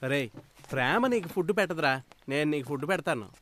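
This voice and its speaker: An actor playing a wisecracking young college friend who mocks romance, in film comedy dialogue. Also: male voice, fairly high pitch, expressive, brisk, fairly bright tone, slight background noise. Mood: mocking. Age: young adult.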